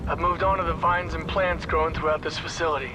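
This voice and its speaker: An actor playing a hapless, wry archaeologist stranded alone, rambling and second-guessing himself in a video game audio log. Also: male voice, fairly high pitch, steady tone, quick pace, natural voice, poor audio. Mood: wry, unsure. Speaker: scientist recording audio logs.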